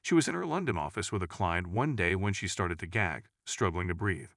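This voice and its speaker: A man's voice: low-pitched, lively intonation, a little fast, gravelly, a bit bright, no echo, studio quality. A man reading scripted narration of true stories as a storyteller.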